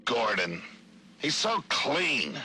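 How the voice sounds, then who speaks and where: An actor playing a resentful, hard-nosed corrupt police official in a video game. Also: male voice, medium pitch, wildly swooping pitch, extremely slow, creaky, sharp tone, husky, heavily acted. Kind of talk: video game voice acting, audio log.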